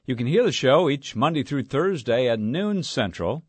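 A man, the regular host of the show, reads a scripted, upbeat promo. His voice sits at a middle pitch. The sound is dry and close. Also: very animated, normal speed, a little mellow, breathy edge, no echo. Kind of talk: recorded podcast promo.

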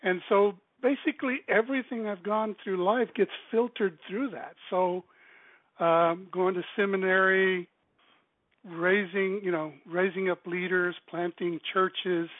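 High-voiced man on a tinny phone line. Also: steady tone, measured pace, dry recording. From South Texas. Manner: earnest, rambling, many fillers. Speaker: ministry director, guest. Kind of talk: phone-in radio interview.

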